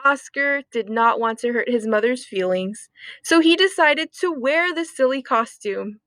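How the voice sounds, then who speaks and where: A woman reading a children's story aloud expressively, with deliberate dramatic emphasis. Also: sits high, bright, forceful tone, no echo. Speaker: storytime reader.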